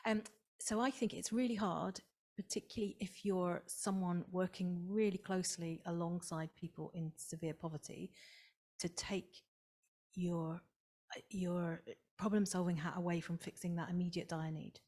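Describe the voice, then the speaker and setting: Woman, slightly low voice, panel speaker, conversational and reasoned. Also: steady tone, normal speed, darkish tone. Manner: conversational, reasoned, many "you know"s. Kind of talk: conference panel discussion.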